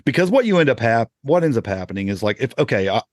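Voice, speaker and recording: A man's voice: mid-range voice, very animated, quick pace, a little mellow, dry recording. A man hosting a podcast in casual chat, rambling and correcting himself, laughing often. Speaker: podcast host.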